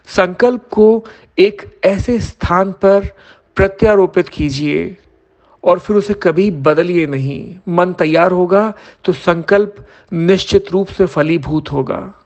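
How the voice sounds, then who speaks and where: A man leading a guided yoga nidra meditation as an instructor, giving measured instructions in short phrases with pauses. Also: high voice, darkish tone.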